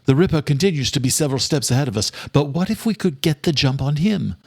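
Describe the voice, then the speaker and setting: A man voicing an older, courteous, determined male doctor in an audiobook, speaking in a formal, measured way. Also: on the high side, expressive, no room sound, studio quality, voice pushed up. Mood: reassuring, scheming. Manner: formal, measured, old-fashioned address. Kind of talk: audiobook character dialogue.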